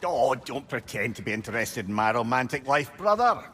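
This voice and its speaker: Older Scottish sage, chatty, wry, very lively intonation, video game drama, faint music behind. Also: male voice, mid-range voice, moderate pace. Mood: wry, uneasy. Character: Mimir, talkative older male sage, wry and excitable.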